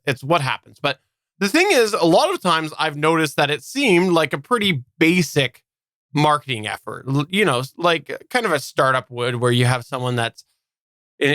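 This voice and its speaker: A man co-hosting a casual live podcast chat, often repeating words haltingly as he works through a thought. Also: medium pitch, sharp tone, clean tone, dry recording. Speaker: podcast co-host.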